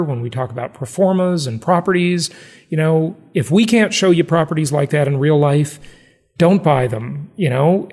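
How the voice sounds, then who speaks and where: A man's voice: fairly high pitch, a little mellow, long reverb tail. An American man hosting a podcast in a conversational, salesy way, repeating phrases for emphasis. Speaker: podcast host, real estate investing.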